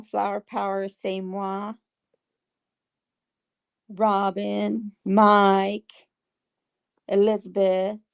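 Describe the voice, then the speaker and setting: A woman, hosting a casual livestream and chatting with viewers in a folksy way, her voice getting hoarse. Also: mid-range voice. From Texas. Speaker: livestream host. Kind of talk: casual chat reading viewer comments.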